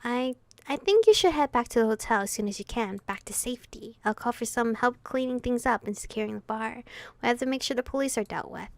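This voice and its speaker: Woman voicing a soft, anxious young male vampire. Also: fairly high pitch, lively intonation, fairly quick, no echo. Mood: worried.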